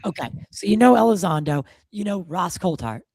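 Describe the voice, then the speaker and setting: A man with a gravelly, slightly hoarse voice, hosting a podcast in loose, casual, swearing chat full of fillers. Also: sits high, animated, brisk, a little mellow, dry recording. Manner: loose and profane, lots of fillers. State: a bit hoarse from a cold. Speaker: podcast host.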